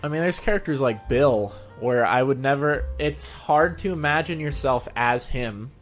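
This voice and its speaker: A man's voice: medium pitch, normal speed, faint music behind. A man chatting casually as the host of an interview show. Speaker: show host, fan fiction reader.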